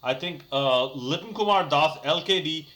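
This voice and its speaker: A young man hosts his own cricket review channel and talks off the cuff. His voice sits a little high and rings bright. He rambles, restarts sentences and fills gaps with "uh" and "like". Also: normal speed. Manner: chatty, rambling, many fillers and restarts. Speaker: cricket YouTuber. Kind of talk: casual solo match review.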